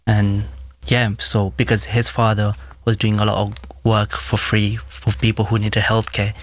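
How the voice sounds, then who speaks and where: A man's voice: fairly low pitch, completely flat delivery, a little slow. A young man from Pakistan whose first language is Pashto, speaking casually and reflectively as an interview guest. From Swat Valley, Pakistan. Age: young adult.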